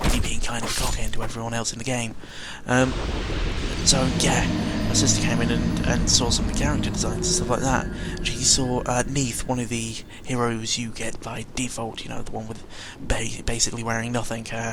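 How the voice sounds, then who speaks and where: British young man rambling over noticeable background noise. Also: medium pitch. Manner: sweary, informal, rambling. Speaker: gaming vlogger. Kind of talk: casual rambling audio log. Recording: clearly noisy amateur recording with ambient sound.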